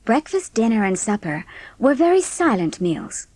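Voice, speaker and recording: A woman's voice: a little high, big pitch swings, moderate pace, darkish tone, slight background noise. A woman reading scripted narration for a dramatised classic audiobook.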